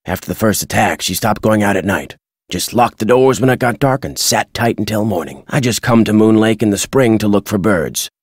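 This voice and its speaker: A gruff, folksy birdwatcher from an adventure game. His voice is lowish and husky, recorded close and dry. He talks like a crotchety but deliberate teller of local tales. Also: expressive, dry recording. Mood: wry, folksy. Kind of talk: video game character dialogue.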